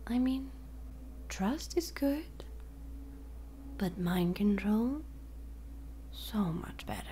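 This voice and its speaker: A woman's voice: mid-range voice, mellow, slight background noise. A woman playing a smug, playful female supervillain who controls minds, speaking mostly in a teasing whisper in an audio roleplay.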